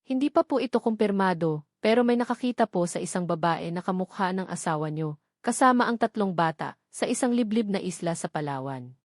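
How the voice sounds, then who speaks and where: A woman's voice: lowish voice, lively intonation, brisk, no room sound. Woman reading as polite detective giving a report, businesslike, audio story, dry sound. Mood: measured.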